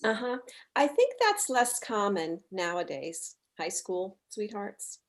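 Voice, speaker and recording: A woman's voice: medium pitch, sing-song, takes it slowly. A woman playing a polite but blunt mother in her forties, in a comedy script read. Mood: guarded. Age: middle-aged.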